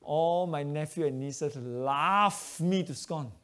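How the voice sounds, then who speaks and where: A man's voice: fairly high pitch, slow. Malaysian man preaching in broken English. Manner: broken English, animated storytelling. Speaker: preacher giving testimony. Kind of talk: testimony sermon.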